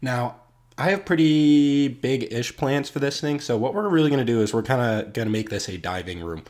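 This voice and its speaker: A man's voice: mid-range voice, normal speed, darkish tone. A man hosting a game build series, talking casually with a stuffy, congested voice and lots of fillers. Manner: rambling, filler-heavy, friendly address to viewers. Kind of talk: casual gameplay commentary.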